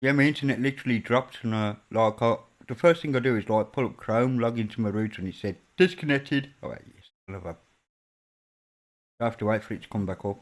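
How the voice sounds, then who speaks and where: A man's voice: mid-range voice, fast. Chatty Brummie man hosting a stream. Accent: Birmingham. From England. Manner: chatty, stumbles and repeats words. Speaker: stream host. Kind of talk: casual chat on a live stream.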